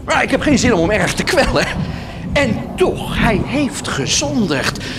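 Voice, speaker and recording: An actor playing a wheedling monk who hawks indulgences with theatrical, cajoling patter in a radio drama. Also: male voice, very high-pitched, big pitch swings, unhurried, very noisy, exaggerated voice. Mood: jovial, cajoling. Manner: theatrical, cajoling sales patter, punctuated with laughs. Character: wheedling monk huckster selling indulgences to peasants.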